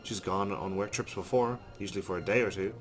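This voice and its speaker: A man hosting a let's play, reading a visual novel's narration aloud in an expressive storytelling way. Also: sits low, a little fast. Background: background music with guitar.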